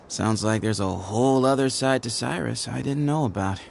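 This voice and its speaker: A man's voice: mid-range voice, creaky, a little hiss or hum. An actor playing a sly, teasing young man who leads a secret group in a game, speaking in a playful, mocking way. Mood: coaxing. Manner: playful, mocking, unhurried drawl. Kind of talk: game cutscene voice acting.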